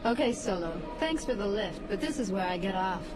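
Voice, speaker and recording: An actress playing a tough, independent smuggler pilot with a brash, needling manner in a science fiction audio drama. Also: female voice, mid-range voice, animated, brisk, natural voice. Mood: firm, dismissive. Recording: clear spaceship ambience noise.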